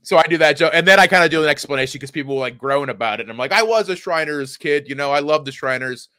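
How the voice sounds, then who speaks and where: Bright-voiced comedian, wry podcast banter. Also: male voice, fairly high pitch, fast talker, smooth, no room sound. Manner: wry, joking, stumbles and restarts.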